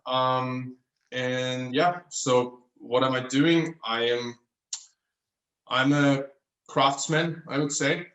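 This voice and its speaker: A man's voice: mid-range voice, little pitch movement, extremely slow, a bit bright, echoey room, poor audio. A man in his thirties from Austria with an Austrian German accent, German his first language, chatting as an interview guest and searching thoughtfully for English words. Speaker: guest craftsman. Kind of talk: casual interview chat. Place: his apartment at home.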